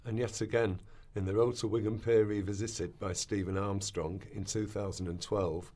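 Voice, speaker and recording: Male narrator, fairly deep voice, reading formal linking script for radio drama. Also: little pitch movement, normal speed, dark tone.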